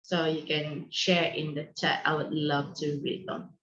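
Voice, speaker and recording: A woman from Malaysia leads an online teachers' session, explaining the meeting rules in a friendly, instructive way with many ums and restarts. Her voice sits a little low. The call audio is dull and lo-fi, with a roomy echo. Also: little pitch movement, measured pace, fairly bright tone. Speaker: online session host and co-founder. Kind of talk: hosting a video call meeting. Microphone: video call microphone.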